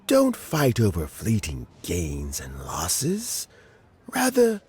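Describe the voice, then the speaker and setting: An actor playing a wise elderly spymaster in a game, giving calm, measured advice. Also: male voice, on the low side, a little hiss or hum. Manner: measured, advising, gently paced. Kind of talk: game voice acting. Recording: game audio with faint music. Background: faint music.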